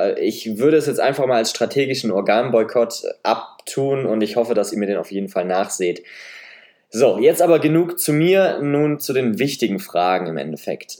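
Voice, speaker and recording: A man's voice: lowish voice, a little mellow. A man in his twenties with a nasal voice and a slight Saxon German accent, hosting a solo podcast in a chatty, self-deprecating way. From Leipzig, eastern Germany. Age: 20s. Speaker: podcast host. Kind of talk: semi-scripted solo podcast monologue. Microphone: podcast microphone.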